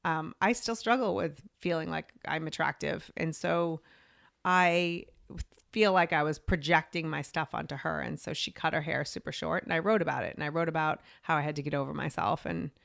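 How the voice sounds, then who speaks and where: A woman's voice: sits low, moderate pace, creaky, fairly bright tone, no echo, clean studio sound. A woman in her forties, a podcast and media coach, telling her story in a chatty way full of "you know" and "like". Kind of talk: casual interview storytelling.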